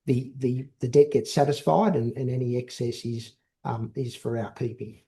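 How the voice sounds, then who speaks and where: Mellow-voiced male executive on a call. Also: medium pitch, fairly even intonation, moderate pace. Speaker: company executive answering analyst questions. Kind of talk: investor call question and answer.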